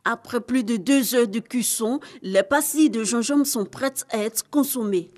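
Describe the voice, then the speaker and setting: A woman giving scripted news narration as a reporter, in a measured, formal delivery. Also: fairly high pitch, a little mellow.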